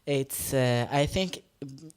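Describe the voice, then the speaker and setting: A performance artist whose first language is Farsi, speaking English on a live panel, often pausing and searching for words. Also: medium pitch, clear, resonant tone. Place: stage talk before an audience, no microphones.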